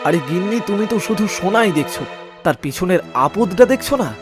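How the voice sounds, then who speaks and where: A man playing a poor, honest, simple-hearted cart puller in an animated moral story, speaking in an earnest, plaintive, folksy way. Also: sits high, brisk, over loud music. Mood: explaining.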